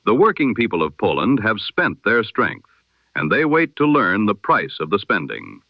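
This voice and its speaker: A man reading a scripted news bulletin in the style of 1920s radio, with a formal, measured and solemn delivery. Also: on the low side, huge pitch swings, dry recording, lo-fi sound. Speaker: news announcer of an audio history broadcast.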